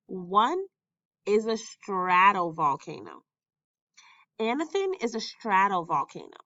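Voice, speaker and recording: Woman, lively pitch, slow educational read-aloud, careful enunciation, dull lo-fi recording. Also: no echo. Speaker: teacher reading a textbook aloud.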